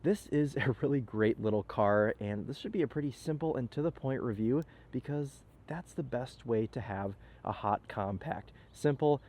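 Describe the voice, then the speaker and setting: A man's voice: medium pitch, normal speed, hardly any pauses, darkish tone. A young man with a slightly nasal, stuffy voice from a cold, chatting upbeat through a car walkaround outdoors and inside the car. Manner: upbeat, chatty, filler-laden. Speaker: car reviewer. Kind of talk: casual walkaround car review. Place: outdoors in a parking lot, then inside a car.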